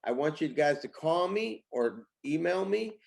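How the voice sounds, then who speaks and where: A man speaking as a company boss in a casual interview, pitching with emphatic, repetitive phrasing. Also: animated, measured pace, vocal fry. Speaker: company CEO, interview guest.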